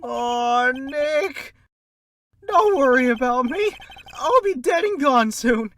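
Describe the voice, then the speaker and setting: A man's voice: very high voice, expressive, slow, a bit bright, no echo, distant mic, exaggerated voice. A man playing a whiny, melodramatic, lovesick young man in an amateur video game fandub. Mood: wailing despair.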